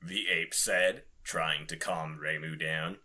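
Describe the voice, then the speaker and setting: Male podcast co-host, irreverent and giggly, very bright voice, unhurried comic story reading. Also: extremely low pitch, steady tone. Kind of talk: comic fanfic reading with casual banter.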